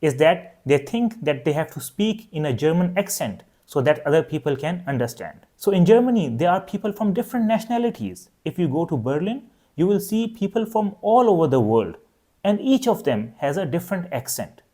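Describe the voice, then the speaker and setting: Man, native Urdu speaker, young adult, online language teacher, clear steady explaining, slightly high voice, very lively intonation. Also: brisk. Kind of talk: scripted online lesson.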